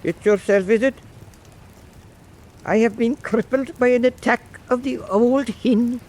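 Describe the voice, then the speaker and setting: An actor playing a wry old Irish island storyteller with an Irish accent in a radio drama. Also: male voice, extremely high pitch, unhurried, darkish tone. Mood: complaining. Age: older.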